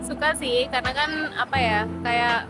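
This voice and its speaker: A woman in her twenties speaks casually as an interviewee. She has a high voice and an East Javanese Indonesian accent, recorded under loud music. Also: fairly even intonation, moderate pace. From Sidoarjo, East Java, Indonesia. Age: 20s. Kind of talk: casual street interview answers.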